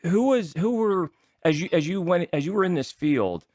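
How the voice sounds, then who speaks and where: A man, middle-aged or older, hosting a casual interview and asking rambling, halting questions. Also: high voice, lively intonation, a little mellow, no echo, studio quality. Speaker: show host.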